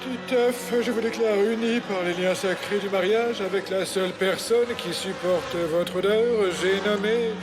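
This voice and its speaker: A man voicing a pompous cartoon wedding officiant, solemn and declamatory with drawn-out phrases. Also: high voice, over loud music.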